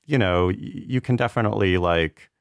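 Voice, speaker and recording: A man's voice: on the low side, moderate pace, creaky, dry recording, clean studio sound. A man talking casually as a podcast panelist, hedging and rambling, with "like" peppered through his sentences. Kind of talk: casual conversational discussion.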